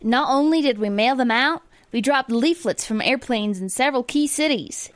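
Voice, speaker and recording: A woman playing a flattering, gung-ho campaign staffer in a comedy radio play. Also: high voice, moderate pace, no echo, lightly acted. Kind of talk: comedy radio theater acting.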